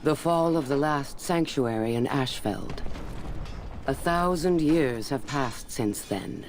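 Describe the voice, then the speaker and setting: Brooding legend-teller, a male actor: slow, measured, mid-pitched, game narration over clear background noise. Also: natural voice. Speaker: storyteller.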